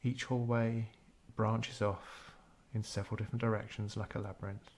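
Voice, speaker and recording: A man narrating a scripted guided meditation in a measured, unhurried storytelling way. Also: fairly low pitch, flat delivery, darkish tone, slight background noise.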